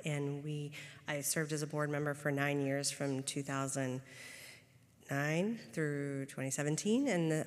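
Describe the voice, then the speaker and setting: A woman introducing herself at a meeting in a matter-of-fact way. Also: low-pitched. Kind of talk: self-introduction. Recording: long hall reverb.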